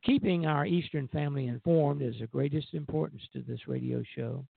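An older man in his seventies or beyond hosting an informal radio show, reminiscing in a halting way. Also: a little high, creaky, husky, dry recording. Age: 70s or older. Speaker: radio show host, retired airline pilot. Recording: tinny, narrow phone-line sound.

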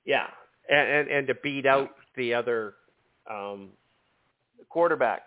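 A man hosts a casual sports podcast while he is losing his voice, so he sounds hoarse and strained. He stumbles, repeats words and restarts his sentences. The sound comes over a dry, tinny, phone-like line. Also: medium pitch, no room sound. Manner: halting, repeats words when starting. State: losing his voice, sore throat. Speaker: podcast host.

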